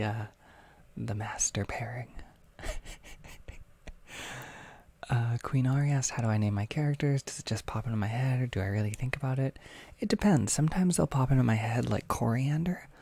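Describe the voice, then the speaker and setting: A young adult with an American accent chats casually on a livestream, reading and answering comments in a soft-spoken, hesitant, rambling way. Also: medium pitch, creaky, breathy edge. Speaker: ASMR audio creator livestreaming.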